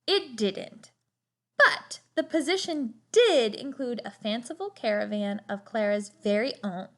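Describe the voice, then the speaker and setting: A woman reading a children's storybook aloud expressively, with deliberate, dramatic emphasis on words. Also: fairly high pitch, lively intonation, a bit bright.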